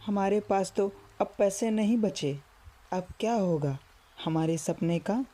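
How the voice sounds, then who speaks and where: A woman's voice: medium pitch, measured pace, warm tone, lightly acted. A woman voicing an earnest, worried villager in a cartoon story. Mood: sad, worried. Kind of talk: Hindi cartoon story dialogue.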